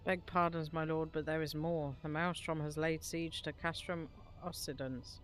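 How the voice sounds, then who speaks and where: A woman's voice: low-pitched, steady tone, moderate pace, slight background noise, close to an everyday voice. Woman reading a deferential, grim imperial officer. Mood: grave, deferential. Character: deferential imperial officer grimly reporting to his lord. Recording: music behind the voice.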